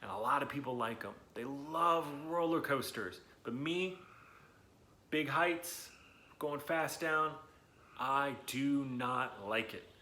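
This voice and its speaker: A man hosting a read-aloud lesson for children, talking in an animated, chatty, encouraging way with emphatic words and questions to his listeners. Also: medium pitch, takes it slowly, slight background noise. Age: adult, not young.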